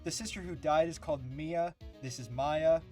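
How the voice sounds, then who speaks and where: A man's voice: on the high side, moderate pace, mellow. A man hosting a live fandub stream with casual gaming banter over game music. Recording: music behind.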